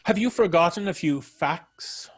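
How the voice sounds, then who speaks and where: Slow, gloating vengeful ex-convict. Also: male voice, fairly high pitch, husky. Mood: vengeful, menacing. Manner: theatrical, drawn-out, florid diction. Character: vengeful, gloating male ex-convict, theatrical and menacing. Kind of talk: old-time radio drama acting.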